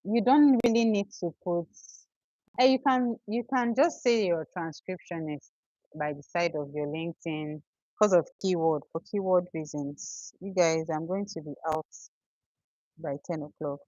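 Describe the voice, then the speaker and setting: A woman's voice: on the low side, measured pace, mellow, no echo, highs cut off. A young Nigerian woman with a Nigerian accent, chatting casually as she answers viewers' questions on a livestream. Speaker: livestream host.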